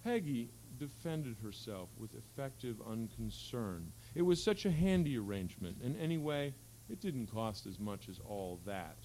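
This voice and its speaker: Male literary reader, medium pitch, measured and articulate narration of fiction, live recording with slight background hum. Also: sing-song, warm tone, rough recording. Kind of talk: reading literary fiction aloud. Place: live public reading at an event. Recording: faint hum and background noise.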